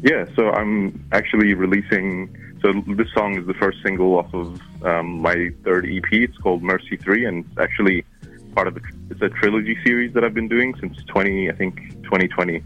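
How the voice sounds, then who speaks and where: A man with a deep voice and an accent that blends Arabic and New Zealand English talks casually as an interview guest. He rambles, restarting his sentences and filling gaps with "like" and "you know". The recording is dull and lo-fi, with faint music underneath. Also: fairly even intonation, moderate pace. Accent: mix of Arabic and New Zealand. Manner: rambling, many fillers and restarts.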